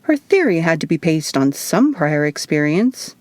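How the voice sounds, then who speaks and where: A woman reads a first-person novel aloud in audiobook style. Her voice sits slightly low for a woman, and the sound is dry with very little room. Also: sing-song, normal speed, a little mellow, dry recording. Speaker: author reading her audiobook.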